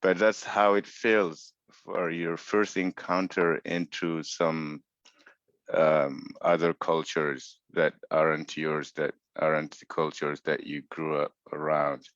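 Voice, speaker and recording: A man from West Africa with an extremely deep voice speaks slowly and earnestly, pausing to search for words. His voice is dry and muffled, coming over a video call. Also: level tone, a bit bright, dry recording. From West Africa, Mauritania. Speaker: panelist. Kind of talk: online panel discussion.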